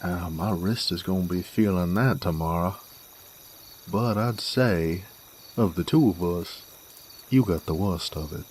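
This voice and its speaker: Deep, slow-drawling Southern cowboy rogue, acted by a man. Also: darkish tone, slight background noise. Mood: smug, taunting. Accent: American Southern. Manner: drawling, folksy, teasing. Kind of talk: scripted roleplay audio drama.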